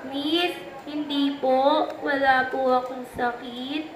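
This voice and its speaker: A woman's voice: sits high, fairly even intonation, takes it slowly. A woman voicing a tired, sleepy, polite schoolgirl with a Filipino accent in an amateur puppet show. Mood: weary. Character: tired, sleepy schoolgirl who lacks appetite and energy. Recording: echoey room recording with crowd noise.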